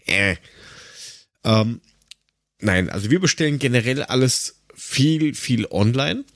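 A man's voice: medium pitch, animated, slow pace, fairly bright tone, dry recording, studio quality. A man with an Austrian accent telling anecdotes in a casual chat as a podcast co-host, blunt, colloquial and grumbling.

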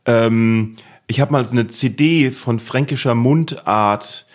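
A man's voice: mid-range voice, slow pace. A man hosting a podcast, interviewing a guest in casual chat, telling rambling, self-mocking stories. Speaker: podcast host.

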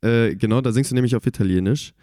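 A man's voice: mid-range voice, quick pace, dark tone, no echo, clean studio sound. A young man co-hosting a casual podcast, asking questions with frequent hesitations and filler sounds. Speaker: podcast co-host.

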